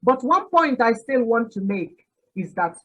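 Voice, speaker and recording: A Nigerian woman in her sixties, an Igbo speaker, telling her story as a podcast guest with frequent pauses and laughter. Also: fairly high pitch, very animated, a bit bright, husky, highs cut off. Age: 60s. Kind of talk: reflective interview answer.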